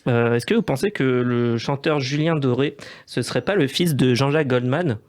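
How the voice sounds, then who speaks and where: A man's voice: medium pitch, moderate pace, darkish tone. A man presenting a scripted comic radio segment, telling it in a hesitant, self-deprecating way. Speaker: radio comedy columnist.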